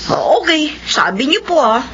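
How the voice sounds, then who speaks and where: A worried young helper in a radio drama. Her voice is very high, polite and hesitant, full of careful doubt. The recording is muffled, with clear noise around her. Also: sing-song, moderate pace. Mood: dutiful, hesitant. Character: worried, conscientious young female eatery helper. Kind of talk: classic Filipino radio drama acting.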